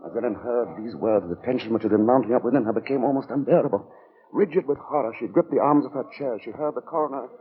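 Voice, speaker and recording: A man's voice: mid-range voice, fast talker, close to an everyday voice. A man narrating a suspenseful 1940s radio thriller in a grave, dramatic manner. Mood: measured, tense. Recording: dull, noisy vintage radio recording.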